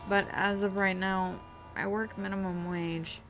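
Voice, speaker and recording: A woman's voice: medium pitch, level tone, measured pace, music in the background. A young woman vlogging from her bedroom, telling a personal story in a hesitant way with many ums and restarts while she plays a driving game. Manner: hesitant, lots of ums and restarts, self-deprecating; talks while playing a driving video game. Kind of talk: casual personal story time.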